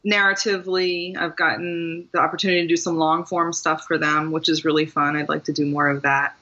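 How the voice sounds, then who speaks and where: A woman's voice: sits low, little pitch movement, fairly quick, sharp tone, dull audio. A woman from Maryland, a guest author, talking casually in an interview, rambling a little and laughing often. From Maryland, USA.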